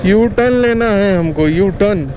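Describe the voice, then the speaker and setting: A man's voice: sits high, moderate pace. A young man giving casual, chatty vlog commentary outdoors, talking to his viewers like friends. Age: young adult. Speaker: motovlogger. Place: outdoors, riding a motorbike and at a rocky riverside. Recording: clear road, wind and engine noise.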